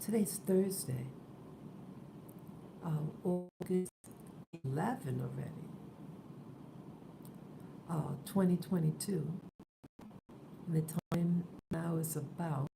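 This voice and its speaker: A woman just awake, hoarse and soft-spoken, talking hesitantly in a casual morning vlog. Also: fairly low pitch, takes it slowly, pauses often, background noise. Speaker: vlogger.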